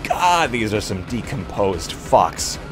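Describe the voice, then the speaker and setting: Low voiced guy playing an rpg, thinks out loud, swears a lot, faint music behind. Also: very animated, slow pace. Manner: sweary, reactive, thinking aloud. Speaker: tabletop roleplay player. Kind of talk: casual game chat.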